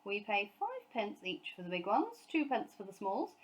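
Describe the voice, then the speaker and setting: A woman voicing a brisk, bossy male factory foreman in a children's storybook, giving colloquial instructions. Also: medium pitch, huge pitch swings. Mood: encouraging.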